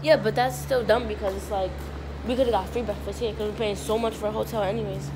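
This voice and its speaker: A woman's voice: medium pitch, fairly even intonation, quick pace, music in the background. A young woman chatting casually with a friend for a vlog, using slangy, profane, informal speech. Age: young adult. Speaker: vlogger. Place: outdoors on a beachfront and street. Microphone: handheld phone at arm's length. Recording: loud wind and outdoor street noise.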